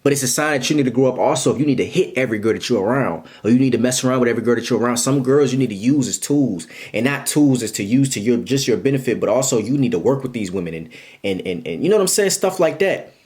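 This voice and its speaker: A man's voice: medium pitch, lively intonation, fast talker, darkish tone. A man in his twenties giving blunt, repetitive life advice straight to his viewers in a casual monologue. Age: 20s.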